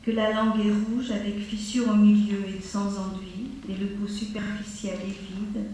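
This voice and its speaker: A woman teaching a class on acupuncture, going slowly and steadily through a list of treatment points. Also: medium pitch, mellow, distant mic, slight background noise. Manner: slow, measured delivery, listing items one by one. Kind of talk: lecture listing treatment points. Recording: very echoey room, voice from afar.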